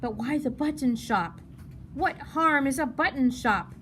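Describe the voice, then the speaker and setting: Woman voicing an earnest, high-voiced curious young girl. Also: lively intonation, unhurried, fairly bright tone. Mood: worried, questioning. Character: earnest, curious ten-year-old Danish girl. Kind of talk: children's novel read-aloud. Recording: noticeable background noise.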